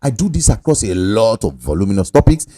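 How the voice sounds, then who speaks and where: A man's voice: fairly low pitch, big pitch swings, fairly quick, dark tone, no echo, studio quality. A young adult man giving a casual screen-recording tutorial. He talks straight to the viewer with pushy, salesman-like emphasis. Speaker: online money-making tutorial host.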